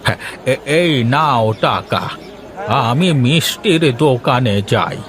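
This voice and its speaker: A man's voice: medium pitch, lively intonation, takes it slowly, fairly bright tone. A man voicing a kindly, homely older village father in a cartoon, with a hearty manner. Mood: homely, businesslike. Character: kindly older village father visiting his married daughter.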